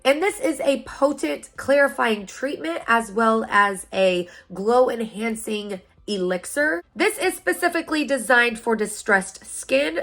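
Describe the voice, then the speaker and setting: A woman in her thirties talks brightly to her viewers, her fairly high voice roughened and stuffed up by a sinus infection. She keeps an upbeat, chatty vlog manner, with soft music faint underneath. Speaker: beauty vlogger. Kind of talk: product unboxing review.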